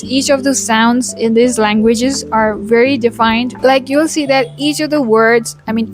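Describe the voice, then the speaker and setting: A woman with an Indian English accent, chatting earnestly to camera as she explains how to learn an accent. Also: fairly high pitch. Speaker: YouTuber teaching accents.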